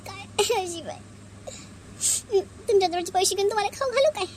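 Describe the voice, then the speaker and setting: A very high, almost childlike voice put on by a woman plays a village sister-in-law in a cartoon. She speaks rural Vidarbha Marathi in an emphatic, plaintive way. There is some background noise. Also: fairly quick. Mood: worried, indignant. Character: two village sisters-in-law, aggrieved young wife and protective visitor. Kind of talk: melodramatic cartoon family dialogue.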